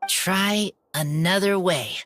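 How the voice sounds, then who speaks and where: Feral teenage boy, game character, lowish voice, slow halting broken phrases, earnest, quiet. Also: slight background noise. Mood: halting, thoughtful. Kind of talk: video game voice acting.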